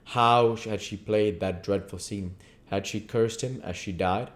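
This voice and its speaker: Male audiobook reader, lowish pitch, deliberate literary narration, occasional stumbles in reading. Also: fairly even intonation. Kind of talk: literary narration read aloud.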